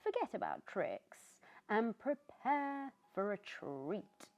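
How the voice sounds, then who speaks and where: A woman's voice: mid-range voice, big pitch swings, slow, a little mellow, highs cut off, voice pushed up. A woman voicing a cheerful, friendly young male pumpkin in a storybook, talking in an eager, bubbly way. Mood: cheery.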